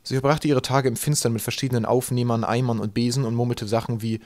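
A man reads a comic children's audiobook at a brisk clip. His mid-pitched voice delivers the absurdities deadpan, as dry scripted narration. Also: dry recording.

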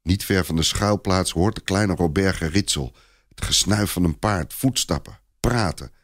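A man narrating a scripted story in a dramatised, expressive and deliberate storytelling style. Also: low-pitched, dry recording, clean studio sound.

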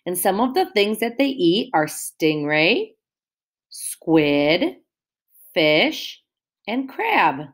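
Slow, lively-voiced woman teacher reading aloud. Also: on the low side. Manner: sing-song, asks the children questions and explains words. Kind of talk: read-aloud lesson for young children.

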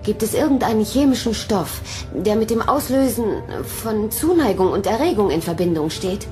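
An actress plays an inquisitive, conflicted female scientist in a science fiction audio drama. She speaks earnestly in a mid-pitched voice with lively swings of intonation, over clear music. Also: moderate pace, lightly acted. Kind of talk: German audio drama acting.

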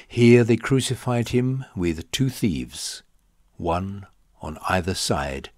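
Male audiobook narrator for scripture: low register, deliberate and dramatic delivery, dry close sound. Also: very animated, a little mellow, no room sound. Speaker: audiobook reader.

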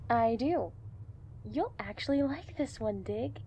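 A woman playing a capable vigilante teammate in an audio drama, brisk and teasing. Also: fairly high pitch, lively intonation, dark tone, slight background noise. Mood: businesslike. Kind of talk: naturalistic audio drama acting.

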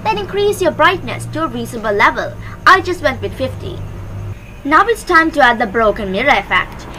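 A woman's voice: high-pitched, sing-song, brisk, pushed and bright, a little hiss or hum, poor audio. A young woman hosting a tutorial, giving scripted step-by-step instructions into a close microphone. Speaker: tutorial host. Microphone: close microphone on a stand. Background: background music.

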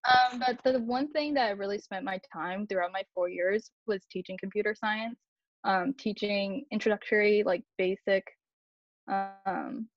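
A woman's voice: mid-range voice, no room sound. Young woman, college student, chatty panel answers, dry and muffled laptop-call sound. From grew up in Japan and Taiwan. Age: young adult. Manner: chatty, self-deprecating, many fillers. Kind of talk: casual Q&A on a video call. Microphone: laptop mic on a video call.

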